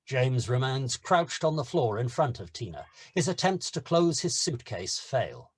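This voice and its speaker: A male narrator reads screenplay action at a table read. His voice is mid-pitched and husky, with a lively, varied intonation. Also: measured pace.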